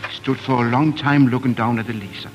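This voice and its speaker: A man's voice: medium pitch, steady tone. Male narrator on dull vintage radio. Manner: measured, dramatic storytelling. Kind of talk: 1940s radio storytelling narration. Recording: dull 1940s radio, music behind.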